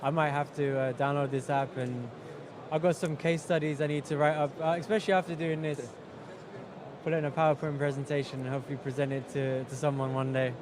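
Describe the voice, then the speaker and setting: A man interviewing guests casually at a trade event, halting and repeating his phrases as he goes. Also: on the high side, flat delivery. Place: busy exhibition hall. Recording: loud trade show floor noise.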